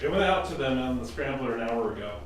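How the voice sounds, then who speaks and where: A man's voice: medium pitch, lively intonation, fast talker, faint music behind, natural voice. A man playing a sceptical, commanding air force general in a radio drama. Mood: dismissive. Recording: echoey, distant from microphone.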